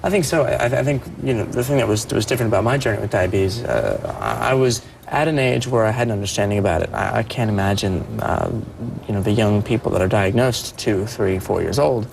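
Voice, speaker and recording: A young man speaking as a performer guest on a TV interview, soft-voiced and earnest, often restarting his sentences. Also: lowish voice, fairly quick, creaky, few pauses, noisy background. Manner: earnest, with many restarts and repeated words. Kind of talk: TV morning show interview.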